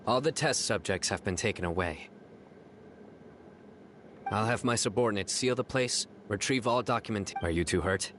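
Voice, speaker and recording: An actor playing a stern, composed law-enforcement general in a game, speaking in a measured, authoritative way. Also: male voice, on the low side, fairly bright tone, a little hiss or hum, lightly acted. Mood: businesslike. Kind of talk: scripted game voice acting.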